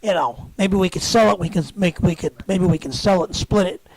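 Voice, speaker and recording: A man's voice: sits high, brisk, darkish tone, breathy edge, no room sound. A man putting on a gullible, anxious fellow who rambles hesitantly and eagerly cooperates in a prank phone call. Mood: hesitant, pleading. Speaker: prank caller. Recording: phone call recording with background noise.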